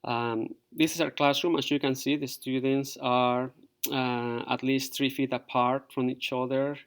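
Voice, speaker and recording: Middle-aged teacher, halting. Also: male voice, mid-range voice, little pitch movement, smooth. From Peru. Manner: halting, many fillers. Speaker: fourth grade teacher. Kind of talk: virtual open house presentation to parents.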